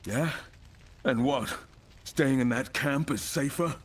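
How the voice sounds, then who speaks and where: Surly, husky runaway guard. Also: male voice, mid-range voice, slow pace. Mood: surly defiance. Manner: curt, scornful. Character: surly, defiant male deserter guard. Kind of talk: video game voice acting.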